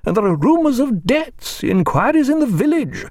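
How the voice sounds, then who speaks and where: A man voicing a domineering, meddling older woman who talks in a shrill, overbearing, lecturing way. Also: sing-song, moderate pace, darkish tone, dry recording, clean studio sound, full character voice. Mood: imperious. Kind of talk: audiobook character voice.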